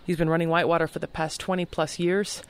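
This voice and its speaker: A man's voice: a little high, level tone, fast talker, clear, resonant tone. A male host introducing his guest in an earnest, formal way. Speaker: podcast host. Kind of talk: interview hosting. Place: hotel rooftop in an old city.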